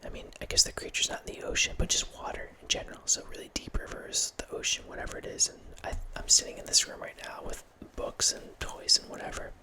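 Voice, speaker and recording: A man's voice: quick pace, hardly any pauses, rough recording. Man whispering an ASMR horror ramble. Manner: rambling, with many restarts and soft laughs. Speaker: ASMR channel host. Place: small home room with bookshelves. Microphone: large studio mic close in front, with headphones.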